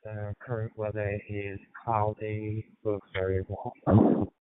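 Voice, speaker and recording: A man from the United Kingdom talking in a measured, matter-of-fact way as an amateur radio operator over a radio link. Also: lowish voice, completely flat delivery, clear, resonant tone, dry recording. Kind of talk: radio check-in and chat. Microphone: digital ham radio transmission. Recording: thin phone-like radio link.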